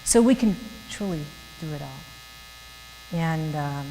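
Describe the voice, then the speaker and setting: A woman's voice: low-pitched, lively intonation, slow, gravelly, warm tone. A middle-aged woman from Germany with a German accent, giving an earnest, chatty church talk to young professionals and laughing often. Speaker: guest speaker. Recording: hall reverb with faint mains hum.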